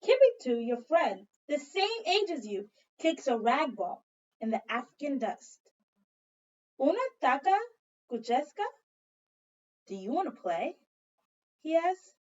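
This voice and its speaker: A woman teacher doing an expressive read-aloud for children, telling the story dramatically and prompting listeners with questions. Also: sits high, a little slow, long pauses. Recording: dull lo-fi sound, distant from mic.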